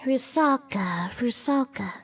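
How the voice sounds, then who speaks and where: A woman's voice: on the high side, expressive, slow, slightly rough, faint music behind, exaggerated voice. A woman playing a matter-of-fact young priestess who casts spells in a visual novel game, confidently explaining things. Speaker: video game voice actor.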